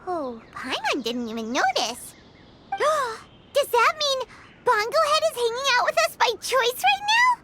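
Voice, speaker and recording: A woman voicing a tiny, excitable fairy girl companion in a video game, with a squeaky, cutesy, childlike voice. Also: unhurried, very noisy, exaggerated voice. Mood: amazed, excited. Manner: cutesy, refers to self by name in third person. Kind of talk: scripted video game character dialogue. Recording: game music behind the voice. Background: background music.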